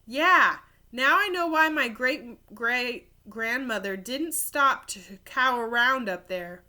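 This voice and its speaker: Woman voicing a bright, headstrong storybook cow. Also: a little high, expressive, takes it slowly, heavily acted. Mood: matter-of-fact. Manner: emphatic, plaintive, stubborn. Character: headstrong female cow, wailing and grumbling about the moon.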